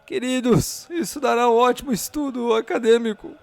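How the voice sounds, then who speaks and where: A man's voice: very high-pitched, fairly even intonation, normal speed, vocal fry, darkish tone, soft background music, voice pushed up. A man voicing a doting, scholarly professor in a theatrical way, for a tabletop game. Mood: delighted. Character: doting, scholarly male professor, amused onlooker.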